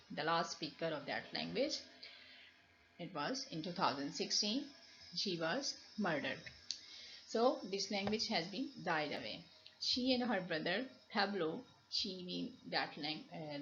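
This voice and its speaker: Creaky middle-aged Pakistani woman lecturing. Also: fairly low pitch, fairly bright tone. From Punjab, Pakistan. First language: Punjabi. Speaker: university lecturer. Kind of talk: slide lecture, reading and explaining. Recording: dull lo-fi home recording with noise.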